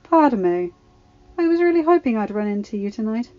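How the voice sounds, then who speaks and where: A woman voicing a smooth, persistent male senator courting an old flame in an audio story. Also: fairly high pitch, moderate pace, lo-fi sound. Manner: smooth, flattering.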